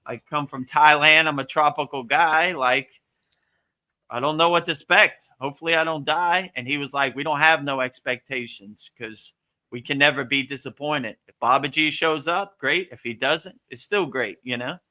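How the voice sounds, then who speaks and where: An American man you'd hear at an online meditation group's question hour, slightly high, sharply bright voice, trading laid-back stories with students. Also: normal speed. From Miami, Florida, United States. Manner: laid-back, colloquial, anecdotal. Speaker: spiritual teacher answering student questions. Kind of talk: casual spiritual question-and-answer talk.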